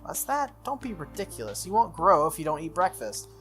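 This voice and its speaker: A man's voice: fairly high pitch, sing-song, a little fast, fairly bright tone, voice pushed up. A man voicing an earnest, easygoing teenage boy in a visual novel, plain-spoken and conciliatory. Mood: scolding. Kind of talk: visual novel character reading. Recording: music playing behind the voice.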